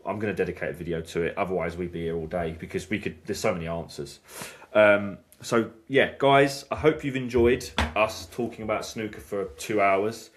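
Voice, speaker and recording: A man's voice: fairly low pitch, fairly even intonation, brisk, few pauses, slight background noise. A man hosting a casual snooker podcast chat, stumbling and restarting often as he talks things through. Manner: hesitant, many restarts and fillers. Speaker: snooker channel host.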